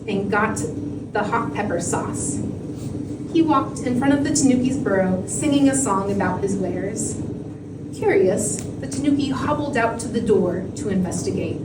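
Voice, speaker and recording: A woman reading a fairy tale aloud as a storyteller. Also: a little high. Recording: echoey, very noisy room, distant mic.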